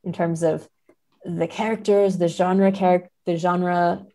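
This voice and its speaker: Female podcast guest, scholarly and explanatory, with a soft dark tone and plenty of vocal fry. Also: on the low side, little pitch movement, slow pace. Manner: analytical, explanatory. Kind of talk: thoughtful interview discussion.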